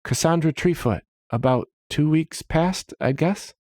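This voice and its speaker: A man's voice: fairly high pitch, measured pace, darkish tone, no room sound, voice pushed up, natural voice. A man voicing a polite, earnest young treefolk adventurer in a fantasy story. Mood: hesitant.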